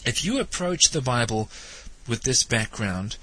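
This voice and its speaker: A man's voice: medium pitch, animated, a little slow, pushed and bright, low-quality recording. A man hosting a talk show, sounding nasal and stuffed up from hay fever, with a wry, questioning manner as he comments on theology. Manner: wry, questioning, lightly teasing. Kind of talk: spoken theology commentary monologue.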